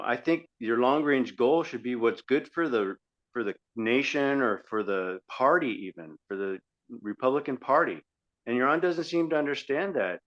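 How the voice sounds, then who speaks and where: A man co-hosting a podcast, giving argumentative, critical political commentary in a conversational style. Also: mid-range voice. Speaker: podcast co-host. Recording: dull lo-fi audio.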